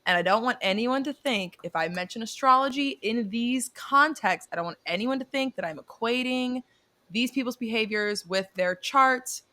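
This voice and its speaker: A woman's voice: a little high, normal speed, bright, forceful tone. A young woman co-hosting a podcast, chatting casually and animatedly, laughing often and swearing now and then. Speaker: podcast co-host. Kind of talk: casual chat.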